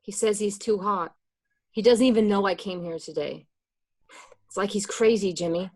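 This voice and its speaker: A woman's voice: medium pitch, steady tone, moderate pace, a little mellow, natural voice. A woman acting a frightened, distrustful mobster's wife and mother in an amateur radio play, emotional and insistent. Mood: worried.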